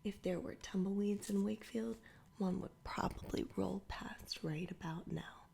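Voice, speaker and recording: A woman reading a children's adventure story aloud entirely in a soft whisper, in ASMR style. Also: medium pitch, measured pace, creaky. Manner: whispers throughout. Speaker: storybook reader.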